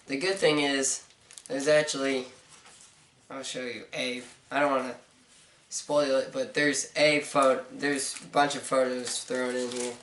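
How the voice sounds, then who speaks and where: A young man with a hoarse, stuffy voice giving a rambling, hesitant book review, full of fillers like "uh" and "so.". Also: medium pitch, level tone, a little slow, fairly bright tone. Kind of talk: casual video review. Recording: roomy, mic at a distance, faint noise.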